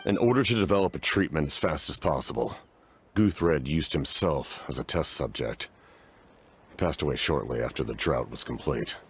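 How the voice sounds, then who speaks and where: An actor playing a stoic, war-weary knight-commander in a fantasy game, speaking formally and gravely. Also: male voice, a bass voice, expressive, normal speed, a little hiss or hum.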